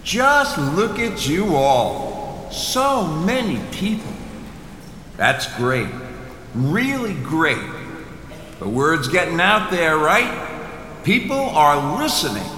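A man's voice: a little high, sing-song, slow, long pauses, sharp tone, heavily acted. An actor playing a charismatic male cult leader in an audio drama, preaching to followers with theatrical, rhetorical phrasing. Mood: rousing. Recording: echoey hall with crowd, faint noise.

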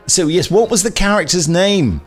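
A man's voice: high-pitched, lively intonation, moderate pace, soft background music. A man presenting a podcast in a chatty, jokey, self-mocking and digressive way. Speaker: podcast host.

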